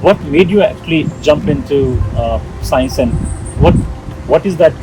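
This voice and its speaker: A man's voice: a little high, lively intonation, measured pace, background noise. A man with an Indian accent hosting an interview, speaking formally with effusive, grandiose phrasing. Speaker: interviewer and show host. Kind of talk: on-camera interview with formal scripted introduction.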